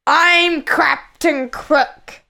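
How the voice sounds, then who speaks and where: Fierce male pirate boss played by a woman, commanding, growly, slow, for a children's tale. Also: high-pitched, steady tone, creaky, pushed and bright, full character voice. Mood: menacing. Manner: growling, bellowing, drawn-out commands. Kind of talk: children's storybook character voice.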